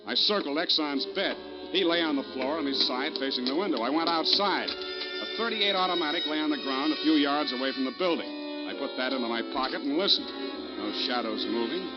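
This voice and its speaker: A man's voice: on the high side, a little fast, natural voice. A man playing a cynical, wisecracking private detective in an old-time radio drama, with a tough, wry, clipped delivery. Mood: tense narration. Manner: hard-boiled, wry, clipped. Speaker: lead actor and narrator. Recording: vintage radio recording, dull, loud music behind.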